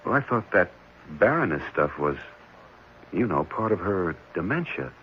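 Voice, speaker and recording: A man's voice: sits low, sing-song, moderate pace. A man playing a concerned, thoughtful and somewhat puzzled ordinary man in a 1970s radio drama, speaking conversationally. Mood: reflective, surprised. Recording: dull, slightly noisy 1970s radio recording.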